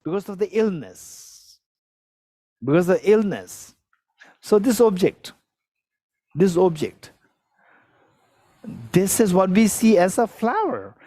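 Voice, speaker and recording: A man's voice: high voice, expressive, slow pace, pauses often, warm tone, no echo. A man, giving a Buddhist teaching to a live audience, repeating phrases, asking for shows of hands and chuckling as he explains. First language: Tibetan. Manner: repetitive, questions the audience, chuckles while explaining.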